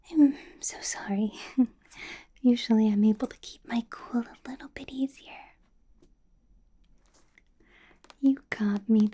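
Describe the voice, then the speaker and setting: Late night in a vampire's candlelit office, an ancient lady boss leans close, whispering breathily and very slowly, doting and menacing, acted by a woman for ASMR. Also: fairly high pitch, husky. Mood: apologetic, amused. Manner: slow, drawn-out, coaxing, soft chuckles and repeated words. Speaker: ASMR roleplay performer. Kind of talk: whispered ASMR roleplay, personal attention.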